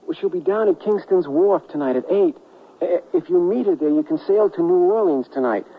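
An actor playing an earnest, anxious writer caught up in intrigue in a western radio drama. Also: male voice, high voice, a little fast, close to an everyday voice. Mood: pleading. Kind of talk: 1970s radio drama acting. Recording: tinny, hissy 1979 radio recording.

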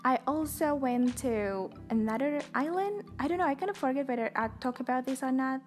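A woman's voice: high voice, expressive, fairly quick, soft background music. A young woman with an Indonesian accent hosting a podcast, talking casually and chattily with lots of fillers. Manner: talkative, chatty with many fillers. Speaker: podcast host. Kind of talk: casual personal monologue.

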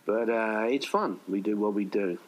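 Male interview guest, lower register, conversational and rambling, drops into quoted asides. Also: moderate pace. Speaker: indie filmmaker, podcast guest.

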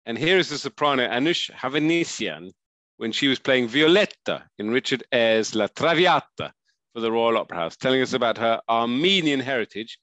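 A man's voice: medium pitch, animated, normal speed, a bit bright, no echo. Man reading presenter links, dry sound. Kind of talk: scripted link narration.

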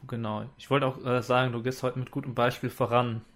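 A man hosting a casual livestream interview and putting viewers' questions to his guest, speaking haltingly with many fillers. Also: mid-range voice, flat delivery, a bit bright. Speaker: stream host.

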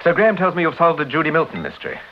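An actor playing a suave British amateur detective with a clipped, urbane manner in a vintage radio drama. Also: male voice, fairly high pitch, very animated, racing. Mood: thoughtful. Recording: dull, hissy old radio recording.

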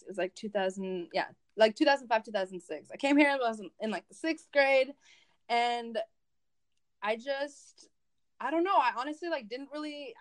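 A young woman whose first language is Spanish, chatting in a casual interview and rambling along with lots of fillers and restarts. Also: high voice. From United States. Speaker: guest, event organizer.

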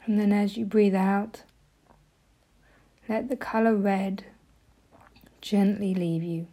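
Female meditation guide, mellow and breathy, with an even, barely moving pitch and soothing, gentle instruction. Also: slow. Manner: soft, gentle delivery, often breathy.

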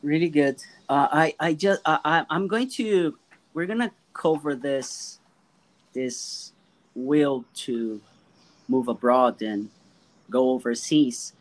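A man's voice: fairly high pitch, slow, low-quality recording. A man interviewing a guest in a casual chat, friendly and encouraging, often repeating words as he starts his questions.

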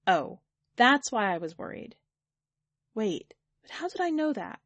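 A woman's voice: a little high, big pitch swings, unhurried, fairly bright tone, dry recording, lo-fi sound, exaggerated voice. A woman voicing an anxious, stubborn character who blurts out emphatic protests in a story. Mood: alarmed protest.

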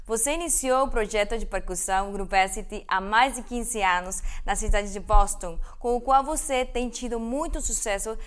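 Woman interviewer, careful and measured. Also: a little high, fairly bright tone. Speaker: interviewer, bassist and singer. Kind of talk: interview questions.

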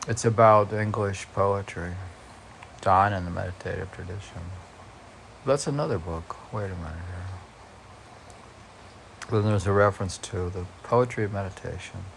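A man's voice: deep voice, moderate pace, vocal fry, pauses often, a bit bright, a little hiss or hum. A man reading aloud and commenting informally, musing in a rambling way as if to himself. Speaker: podcast host reading and commenting on poems.

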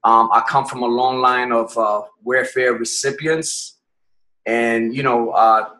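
A man from Puerto Rico, a podcast guest telling his life story in a reflective way, often pausing and restarting his phrases. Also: medium pitch, fairly even intonation, bright, forceful tone, poor audio.

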